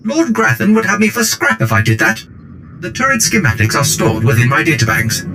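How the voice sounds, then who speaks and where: A man's voice: on the low side, big pitch swings, fairly quick, noisy background. A man playing an anxious protocol droid in a video game, with fussy, exclamatory and very formal speech. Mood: anxious, indignant. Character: fretful, prim, anxious protocol droid servant. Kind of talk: video game character acting. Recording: loud music underneath. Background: loud game music.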